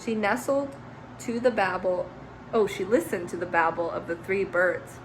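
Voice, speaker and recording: A woman teacher reading a children's picture book aloud, expressive, with chatty asides to young listeners. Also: mid-range voice, moderate pace, slight background noise, rough recording.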